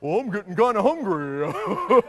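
A man's voice: sits high, big pitch swings, unhurried, in a large hall. A man voicing a dopey, giggly, stammering Midianite soldier who is itching for battle, in a comedic Bible sketch. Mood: goofy, curious.

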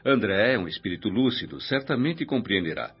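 A man's voice: lowish voice, animated, fairly quick, slightly rough. A man playing a friendly, frank host in a radio drama, speaking cordially with a Brazilian accent. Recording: dull lo-fi audio with music.

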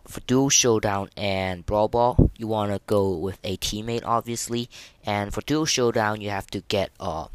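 A man hosting a casual, unscripted gaming podcast, speaking in a halting, repetitive, informal way. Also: fairly low pitch, clean tone, no room sound. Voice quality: voice that cracks now and then. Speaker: podcast host.

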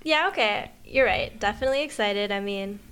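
A woman's voice: medium pitch, fairly quick, fairly bright tone, clean tone. A young woman co-hosting a podcast, chatting in a friendly, casual way. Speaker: podcast co-host.

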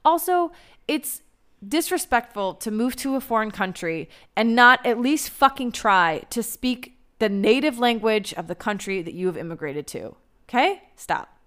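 A woman's voice: fairly high pitch, animated, normal speed, fairly bright tone. A young American woman giving casual advice in a blunt, candid way, swearing freely. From United States. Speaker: advice vlogger.

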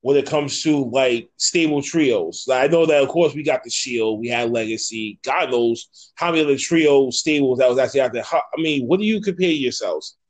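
A man's voice: mid-range voice, normal speed, low-quality recording. Encouraging, slangy male podcast host. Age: 30s. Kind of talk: casual interview chat.

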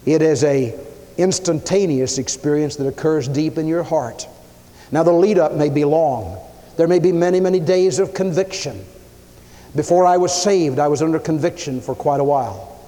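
A man preaching a sermon, emphatic, swinging his pitch and loudness as he acts out the people he quotes. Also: moderate pace. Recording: long hall reverb.

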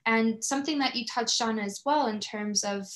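A woman's voice: on the high side, normal speed, a bit bright. A woman hosting a conversational podcast interview, affirming and reflective as she talks.

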